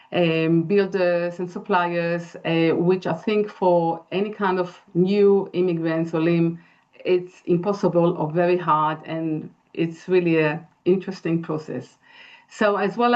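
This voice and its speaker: Israeli woman with a low, dull-sounding voice. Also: darkish tone. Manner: earnest, halting, many fillers. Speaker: interior designer presenting. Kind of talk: webinar presentation. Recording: dull lo-fi video call audio.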